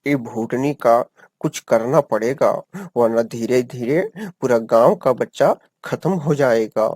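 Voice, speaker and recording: A commanding village-chief bird in a cartoon, acted by a man. He speaks very slowly and deliberately with stern authority, in a dry, close recording. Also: medium pitch, darkish tone, breathy edge, dry recording. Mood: concerned.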